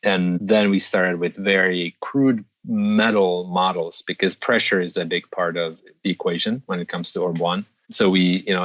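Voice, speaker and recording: A man's voice: low voice, fairly bright tone, no echo, highs cut off. A middle-aged man from the Czech Republic, a designer and metalsmith, giving thoughtful interview answers with frequent restarts. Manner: thoughtful, restarts often, measured.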